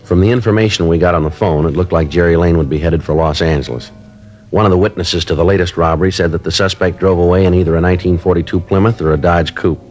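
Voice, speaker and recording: An actor playing a terse police sergeant, narrating a 1950s radio crime drama in a clipped, deadpan way. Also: male voice, deep voice, fast talker, faint music behind, close to an everyday voice. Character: terse male police sergeant, matter-of-fact.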